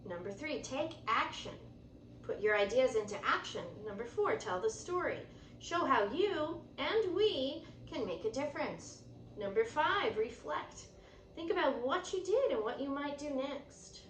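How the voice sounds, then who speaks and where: Woman, children's read-aloud, instructive, expressive, swooping intonation, echoey room with faint noise. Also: high voice, unhurried. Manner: instructive, explains and points things out. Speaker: teacher reading a picture book aloud. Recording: roomy echo, distant mic, faint noise.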